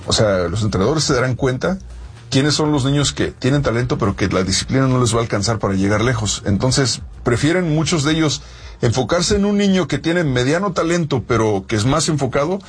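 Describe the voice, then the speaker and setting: A man from Mexico, co-host of a sports talk show, explaining youth soccer in an animated way. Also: medium pitch, a little fast, husky.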